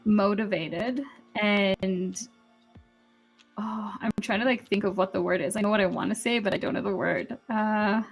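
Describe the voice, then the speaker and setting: A young woman from Kenya, a software developer, chatting as an interview guest, upbeat, laughing often. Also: mid-range voice, steady tone, moderate pace, gravelly, soft background music, rough recording. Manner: friendly and upbeat, laughs often, uses fillers. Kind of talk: casual interview.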